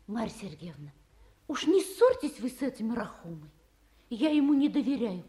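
Lively, soft-toned office assistant. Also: female voice, on the high side, normal speed. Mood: wary, animated. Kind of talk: radio play acting.

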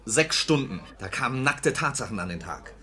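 An actor playing an agitated grown son, earnest and urgent, in a 1950s German radio drama. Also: male voice, medium pitch, big pitch swings, moderate pace, sharp tone. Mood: grimly insistent. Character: disillusioned grown son, agitated, desperate for honesty.

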